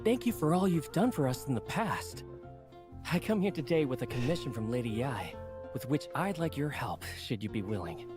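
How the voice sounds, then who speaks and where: A man's voice: mid-range voice, very animated, moderate pace, close to an everyday voice. A man playing a polite, earnest young book editor in an anime game, speaking formally and courteously. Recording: game music behind the voice.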